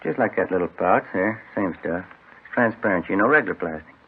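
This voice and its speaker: An actor playing a chatty, fussy police detective in an old radio drama, folksy and earnest. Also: male voice, deep voice, expressive, a little fast. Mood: chatty, pleased. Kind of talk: naturalistic 1950s radio drama acting. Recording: hissy, narrow old radio recording.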